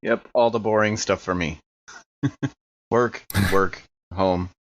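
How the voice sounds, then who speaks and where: A man's voice: lowish voice, steady tone, slow pace, lo-fi sound. A man chatting casually as a player on a tabletop game podcast. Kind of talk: casual chat.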